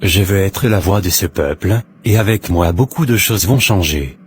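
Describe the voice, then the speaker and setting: An actor playing an earnest, self-righteous businessman running for parliament in an animated drama, speaking formally in speeches. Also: deep voice, normal speed, slight background noise, close to an everyday voice. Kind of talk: animated drama dialogue, political interview answers.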